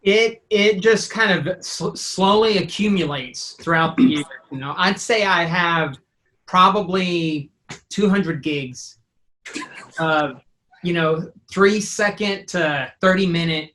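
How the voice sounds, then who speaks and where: A man's voice: on the high side, measured pace, bright, forceful tone. A man talking casually in an interview, rambling and thinking aloud with enthusiasm. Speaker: sound designer and composer; teacher.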